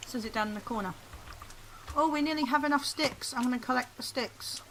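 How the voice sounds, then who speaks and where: A woman chatting in a relaxed, dry way while co-hosting a video game playthrough at home. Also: high voice, a little fast, noisy background. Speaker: gaming co-host. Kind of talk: casual let's-play chat.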